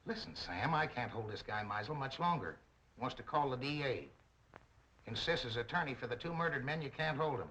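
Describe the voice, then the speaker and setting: An actor playing a brisk, dutiful policeman in a 1930s crime film, passing on orders in plain, firm, slangy speech. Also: mid-range voice, lively intonation, sharp tone. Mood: urgent. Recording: noisy 1930s film soundtrack.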